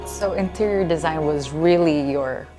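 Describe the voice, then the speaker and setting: A woman's voice: low-pitched, measured pace. A woman hosting an interview, putting conversational questions to a guest. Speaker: interviewer, host. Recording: background music under speech.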